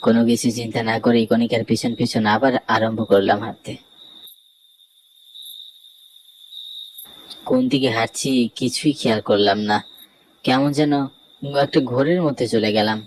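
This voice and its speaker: Horror cartoon lead: male actor playing a scared, bewildered young man, mid-pitched, faint night-insect noise behind. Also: fairly even intonation, a little fast, lightly acted, rough recording. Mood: dazed. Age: young adult. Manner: dramatic, bewildered. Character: frightened young man telling his ghostly night journey. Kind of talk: Bengali horror cartoon narration.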